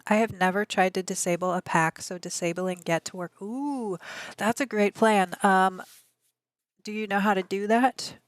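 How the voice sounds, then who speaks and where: A woman streamer with a slightly raspy voice, chatting casually and answering her viewers. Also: medium pitch, steady tone, moderate pace, no echo. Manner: rambling, chatty, answers chat messages aloud. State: allergies. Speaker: game streamer.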